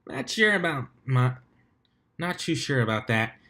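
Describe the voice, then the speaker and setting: A man's voice: medium pitch, lively intonation, a little slow, pushed and bright. Storybook stallion athlete, read by a man: earnest, laid-back, casual, mid-range voice. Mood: sincere, sheepish. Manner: casual, sincere. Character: earnest, easygoing male pony stunt flyer.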